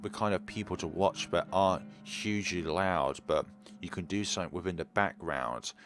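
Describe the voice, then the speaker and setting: Calm low-voiced young male streamer. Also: normal speed. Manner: calm, relaxed, low-key. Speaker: game streamer. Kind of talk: casual let's play chat.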